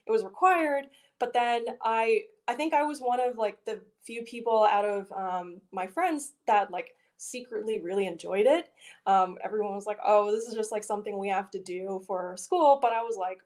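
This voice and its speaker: A woman's voice: mid-range voice, moderate pace, darkish tone. A young woman from Virginia, a graduate student guest, answering casually with lots of "um" and "like". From Richmond, Virginia, USA. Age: young adult. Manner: chatty, many ums and likes. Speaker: guest, PhD candidate.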